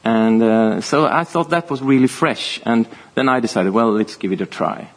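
Husky-voiced man chatting with many restarts. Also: mid-range voice. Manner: chatty, halting, laughs often. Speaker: author. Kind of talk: panel conversation.

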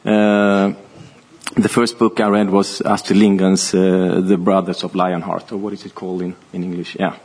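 A man's voice: deep voice, level tone, darkish tone, slightly rough. A man, an author, talking casually on a panel, often stopping to restart his sentences and breaking into laughter. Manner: chatty, halting, laughs often. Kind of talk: panel conversation.

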